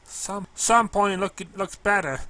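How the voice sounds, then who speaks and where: A man's voice: high voice, unhurried, sharp tone, voice pushed up. A man voicing a cheerful, scatterbrained, kindly female pegasus pony who delivers mail, bubbly and bumbling, drawing out words in a cartoon fan story. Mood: affectionate.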